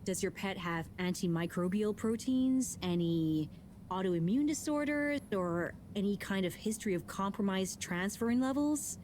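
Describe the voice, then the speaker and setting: A woman's voice: mid-range voice, animated, normal speed, a little mellow, lightly acted. A woman voicing a chatty, caring game character who offers medical advice, with faint noise behind. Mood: concerned. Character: helpful woman advising on medicine.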